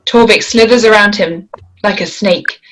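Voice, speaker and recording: A woman's voice: mid-range voice, moderate pace, fairly bright tone, low-quality recording. Woman narrating screenplay directions, faint noise. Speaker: narrator reading stage directions. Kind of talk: scripted narration at a table read.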